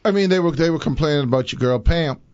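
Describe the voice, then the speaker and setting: A Bahamian man hosting a live talk show, chatting in an animated, rambling way and laughing easily. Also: on the high side, fast talker, clean tone, no room sound, highs cut off.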